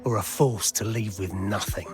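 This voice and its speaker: An actor playing a weary, bitter, grieving man in a video game, speaking in a measured, resigned, dramatic way. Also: fairly low pitch, over music, natural voice. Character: weary, bitter man grieving his lost friend and past. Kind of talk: scripted video game voice acting.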